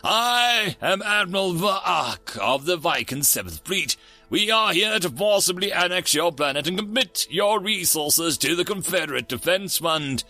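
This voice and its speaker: A man's voice: high voice, expressive, unhurried, sharp tone, soft background music, voice pushed up, heavily acted. A man playing a hot-tempered alien fleet admiral in a sci-fi story, imperious and exasperated, barking commands.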